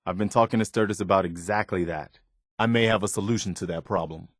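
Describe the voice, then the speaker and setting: An actor playing an earnest, loyal, plain-spoken militia man in a video game, sincere and measured. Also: sits low, dry recording, studio quality. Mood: businesslike concern. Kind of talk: naturalistic video game dialogue.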